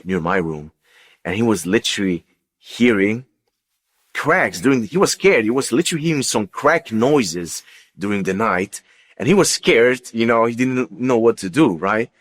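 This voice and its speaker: Deep-voiced man telling a personal story. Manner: halting, repetitive, emphatic. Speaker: guest giving a personal testimony.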